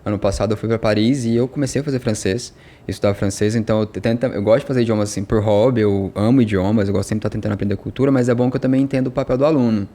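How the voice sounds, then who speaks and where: A man from Brazil, a podcast guest who runs a language school, telling stories in an animated, casual way. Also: on the low side, very fast, hardly any pauses. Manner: animated storytelling with quoted dialogue. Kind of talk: casual conversation.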